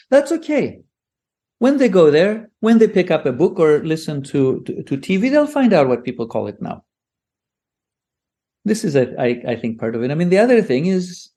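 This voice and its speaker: A man's voice: on the high side, dark tone. A man from Greece, a native Greek speaker, talking casually as a podcast guest, rambling with many restarts. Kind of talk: casual conversation.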